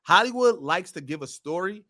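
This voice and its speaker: Male podcast panelist, distinctly high voice, colloquial and explanatory, casual pop culture chat. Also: animated, moderate pace, pushed and bright.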